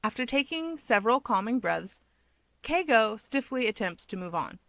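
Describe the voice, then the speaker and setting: A woman's voice: a little high, very animated, moderate pace, fairly bright tone, no room sound. A woman reading scripted fan fiction narration as an audiobook narrator.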